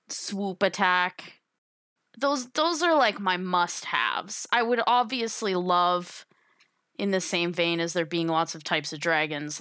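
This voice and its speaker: A woman's voice: fairly low pitch, a bit bright, clear, resonant tone, dry recording, clean studio sound. A woman chatting casually and emphatically as a guest on a tabletop gaming podcast.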